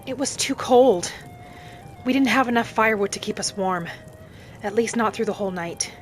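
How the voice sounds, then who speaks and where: A woman voicing a gentle, adventurous female wood elf traveller in a video game roleplay, musing softly aloud in character over music. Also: fairly high pitch, normal speed, lightly acted. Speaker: video game streamer role-playing.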